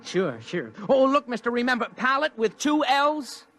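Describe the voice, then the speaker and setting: A man's voice: very high voice, big pitch swings, moderate pace, loud music behind the voice, exaggerated voice. An actor playing a skeptical, folksy man in the street in a radio drama, blunt and dismissive in colloquial talk.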